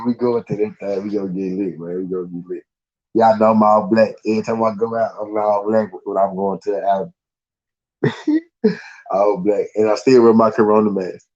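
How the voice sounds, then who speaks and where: Chill southern guy rambling on a live stream, low mellow voice, kinda dull lo-fi sound. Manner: laid-back, slangy, rambling with many fillers. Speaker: podcast host. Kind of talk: casual live-stream chat.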